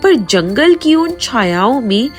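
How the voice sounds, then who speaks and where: A woman's voice: fairly high pitch, sing-song, slow pace. A woman narrating a folk tale as a dramatic scripted story. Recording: faint music behind the voice.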